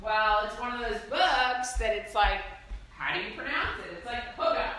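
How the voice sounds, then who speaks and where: A woman co-hosting a podcast in casual chat, playful and animated, often reading aloud. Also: on the high side, moderate pace, low-quality recording. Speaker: podcast co-host.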